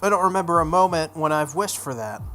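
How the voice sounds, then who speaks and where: A man's voice: on the high side, lively intonation, quick pace, sharp tone. A man voicing an earnest, uncertain teenage boy in a visual novel, plain-spoken and questioning, over some noise. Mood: shaken denial. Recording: noticeable background noise.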